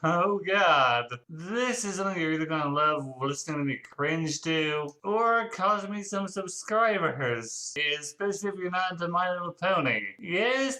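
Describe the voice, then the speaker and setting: A man's voice: on the high side, bright, forceful tone, poor audio. A man giving a casual dramatic reading of fan fiction, breaking off for self-mocking, theatrical asides.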